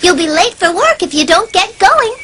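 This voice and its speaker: A woman's voice: very high-pitched, very animated, normal speed, a bit bright, slight background noise, full character voice. A woman voicing a sweet, cheerful, trusting young princess in a cartoon, polite and wholesome. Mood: agreeable.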